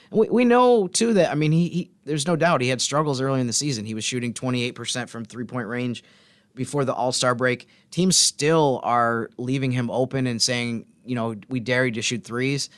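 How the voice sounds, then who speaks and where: A man's voice: medium pitch, dry recording. A man co-hosting a sports podcast, talking basketball casually like a team reporter.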